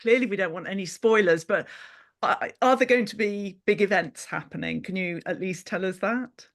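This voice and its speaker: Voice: mid-range voice, normal speed, fairly bright tone. A panel host putting questions in a conversational way at a conference.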